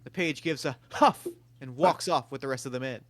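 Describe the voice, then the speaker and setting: A man's voice: medium pitch, fairly quick. A man reading stage directions aloud at a table read in a plain, matter-of-fact way.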